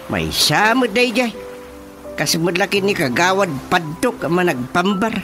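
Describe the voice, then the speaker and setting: Radio drama role: exasperated, chatty village chairman, Ilocano accent, highish voice, loud music underneath. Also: expressive, measured pace. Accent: Filipino, Ilocano. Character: talkative village chairman, exasperated, gossiping man. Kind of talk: radio drama comedy acting.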